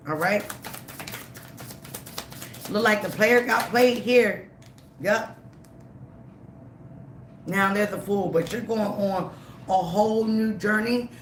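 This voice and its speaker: Slightly raspy tarot reader in echoey room. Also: medium pitch, slight background noise. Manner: emphatic, repeats phrases, frequent "okay?" and "all right?". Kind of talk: live tarot reading to viewers.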